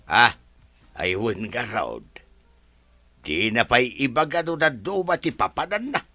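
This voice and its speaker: A man doing a jokey loyal old driver, husky and bright. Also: medium pitch, lively intonation, unhurried, faint music behind. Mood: worried. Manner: jokes and laughs a lot. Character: older male driver, jokey, loyal servant.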